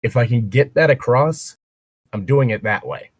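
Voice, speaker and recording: A man's voice: mid-range voice, animated, normal speed, slightly rough, dry recording. A man chatting casually between bits of reading fan fiction aloud, rambling and breaking off to comment or swear.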